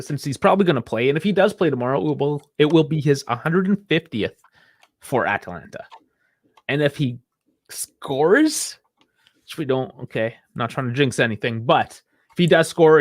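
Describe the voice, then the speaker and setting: A man's voice: a little high, no echo. Chatty man on a dry mic. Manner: chatty, rambling, laughs often. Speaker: podcast co-host. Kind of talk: casual sports chat.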